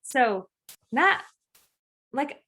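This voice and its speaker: A woman with a bright, fairly high voice hosts a casual faith podcast. Her delivery swings widely in pitch as she tells stories in loose, stop-and-start phrases, acting out reactions with exclamations. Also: drawn-out words. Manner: animated, exclamatory, acts out quoted reactions. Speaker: podcast host.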